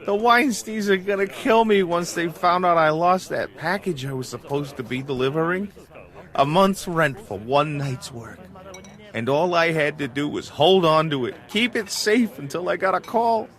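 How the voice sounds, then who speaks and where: A man's voice: on the high side, normal speed, fairly bright tone. A man acting as a hapless, hard-drinking small-time crook in an audio drama, nervous, wheedling and self-pitying.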